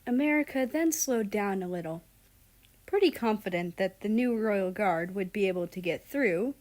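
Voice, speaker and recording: A woman's voice: mid-range voice, lively intonation, normal speed, a little mellow. A woman reading a fan fiction story aloud as scripted narration.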